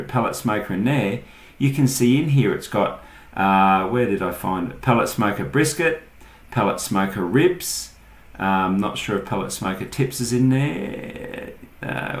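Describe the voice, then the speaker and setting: A man's voice: medium pitch, lively intonation, measured pace. An Australian man hosting an informal online tutorial, explaining things step by step in an echoey room. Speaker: online marketing tutorial host. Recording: echoey, roomy sound.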